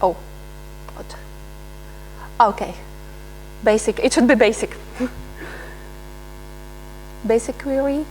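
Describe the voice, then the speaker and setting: A woman researcher giving a live software demonstration, pausing often with fillers and restarting her sentences. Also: a little high, a little hiss or hum, poor audio. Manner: hesitant, many filler sounds and restarts. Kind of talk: live software demo talk.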